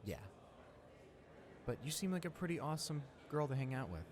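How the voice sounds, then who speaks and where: A young man playing a friendly, eager high school senior in a roleplay audio drama, rambling enthusiastically with stammers and repeats. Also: very animated, a little fast, crowd noise. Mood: friendly, upbeat. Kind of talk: roleplay audio drama, casual one-sided conversation. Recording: clear background noise, ambience.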